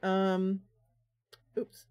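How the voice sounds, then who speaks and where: A woman's voice: medium pitch, monotone, very slow, a little mellow, clear, resonant tone, no room sound, lo-fi sound. A woman hosting a game live stream, chatting casually and explaining things as she goes.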